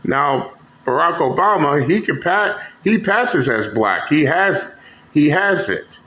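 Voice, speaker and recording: A man's voice: a little high, lively intonation, slow, sharp tone. A man hosting a commentary channel, talking bluntly and mockingly with profanity as he reacts to clips. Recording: echoey room with faint noise.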